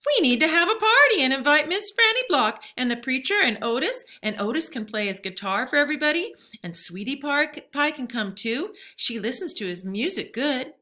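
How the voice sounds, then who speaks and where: Eager little storybook girl, acted by a woman, excitable and pleading, with a bright, high voice. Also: normal speed, few pauses, heavily acted. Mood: excited. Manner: excitable, pleading, childlike folksy phrasing. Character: eager, pleading young girl planning a party.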